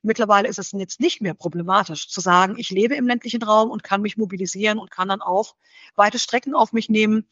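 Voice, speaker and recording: A woman answering interview questions thoughtfully, in a measured way that often pauses to search for the right word. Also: medium pitch, fairly even intonation, a bit bright, dry recording. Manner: measured, searching for words, frequent fillers. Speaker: interview guest. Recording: slightly dull dry recording.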